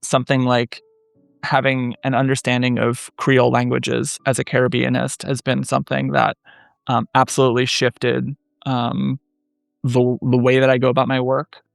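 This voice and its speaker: A man in his thirties speaking as a scholar guest in a podcast interview, measured and thoughtful, pausing often with fillers. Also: medium pitch, monotone, creaky, dry recording, studio quality. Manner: thoughtful, many "um" pauses, measured. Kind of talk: academic interview conversation.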